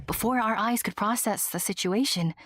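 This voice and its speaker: A woman's voice: medium pitch, normal speed, lightly acted. A woman playing a small, proud winged secret agent who narrates an audio drama expressively and earnestly. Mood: urgent. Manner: expressive, self-important storytelling. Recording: music behind the voice.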